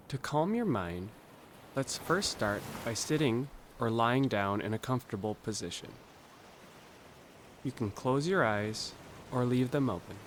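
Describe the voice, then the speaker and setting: A man narrating a scripted guided meditation in a calm, gentle, instructional way, leaving pauses between phrases. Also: mid-range voice, a little hiss or hum.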